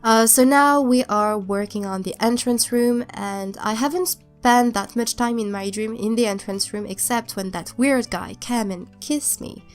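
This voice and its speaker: A woman's voice: fairly high pitch, unhurried, hardly any pauses, faint music behind. A young woman with a cold and a stuffed-up voice, not a native English speaker, casually chatting in a rambling, hesitant voiceover. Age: young adult. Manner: rambling, hesitant, many restarts. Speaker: YouTuber narrating a speed build.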